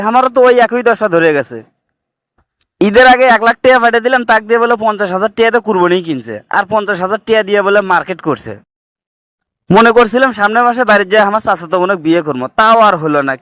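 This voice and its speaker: A man's voice: high-pitched, fairly bright tone, dry recording, clean studio sound. A man voicing a worried, despondent migrant worker in a comic cartoon, talking plaintively in colloquial northern Bangladeshi dialect.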